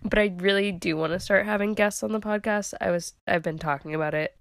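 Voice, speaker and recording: A woman's voice: mid-range voice, fairly quick, creaky, dry recording. A woman co-hosting a casual podcast, congested from a sinus headache, talking in a chatty, rambling way with lots of fillers. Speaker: podcast co-host. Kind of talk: casual chat.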